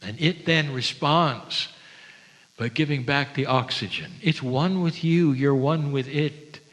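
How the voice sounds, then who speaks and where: A man giving a spiritual sermon to an audience, speaking gently and reverently with deliberate, dramatic pauses. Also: mid-range voice. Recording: long hall reverb.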